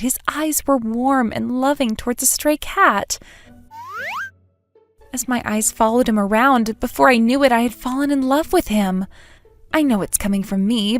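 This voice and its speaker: A woman's voice: fairly high pitch, natural voice. A woman playing a sociable but nervous, lovesick schoolgirl in a manga dub, with an emotive, hesitant delivery. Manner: emotive, self-doubting, hesitant. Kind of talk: dramatic manga dub narration and dialogue.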